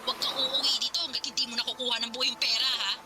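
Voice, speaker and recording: A Filipino woman in a radio drama playing a callous, scheming young wife with a brisk, scolding, dismissive manner. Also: high voice, steady tone, heavy background noise, natural voice.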